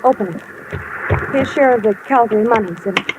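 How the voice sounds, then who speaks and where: An actress playing a secretive, insistent go-between in a 1950s radio crime drama, terse and guarded. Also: female voice, on the high side, brisk, natural voice. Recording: noisy vintage radio recording.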